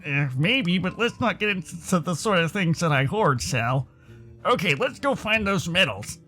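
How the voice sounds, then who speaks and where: A man's voice: high voice, very animated, measured pace, sharp tone, soft background music, full character voice. An American man voicing a wary, argumentative furry animal character in a visual novel, with a hesitant manner. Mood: reluctant. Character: wary, suspicious male furry animal friend.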